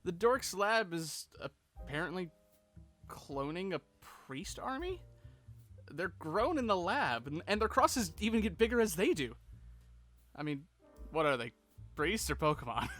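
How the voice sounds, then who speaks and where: A man co-hosting a fan breakdown, giving recap commentary in a playful, excitable and cheeky way. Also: high voice. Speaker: co-host of a fan breakdown show.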